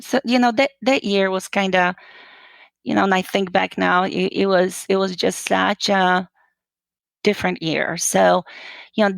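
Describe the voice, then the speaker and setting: A woman's voice: lowish voice, gravelly, no echo. A woman in her fifties from Poland, a guest telling her story in a casual interview, chatty, with many fillers and restarts.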